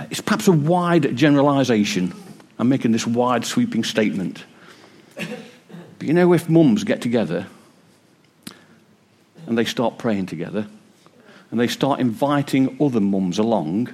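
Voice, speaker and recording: A man preaching a sermon in church, a bit croaky, with a joking, warm delivery. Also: medium pitch. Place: church hall with congregation. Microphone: wireless mic.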